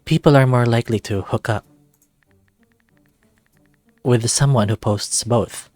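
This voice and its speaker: A man's voice: mid-range voice, a little slow, a little mellow, no echo, soft background music, lightly acted. A man voicing a sly, self-assured male silver fox mentor in a visual novel, coaxing and matter-of-fact.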